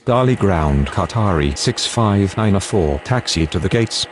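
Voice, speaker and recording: A man's voice: deep voice, expressive, measured pace, a little hiss or hum. A man voicing a procedural airport radio operator, speaking formal, clipped aviation phraseology in a game. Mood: businesslike. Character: procedural male airport controller and pilot radio voice. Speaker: air traffic control radio voice.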